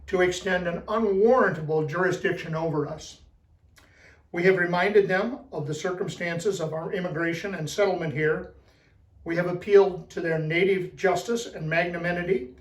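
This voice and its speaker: A man with a voice a little higher than average reads a historic document aloud. His delivery is formal, like a public recitation. The pickup is roomy and somewhat away from the microphone. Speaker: public reader at a commemorative event. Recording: roomy echo, distant microphone.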